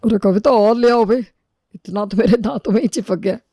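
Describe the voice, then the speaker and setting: A man voicing a hungry, demanding older father-in-law in an animated family story. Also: sits high, a little fast, no echo.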